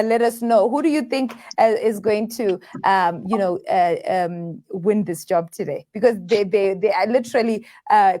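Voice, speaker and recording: A woman's voice: medium pitch, a little mellow. A woman hosting a live call-in show, talking with many fillers and restarts as she takes viewers' calls. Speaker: host taking viewer calls.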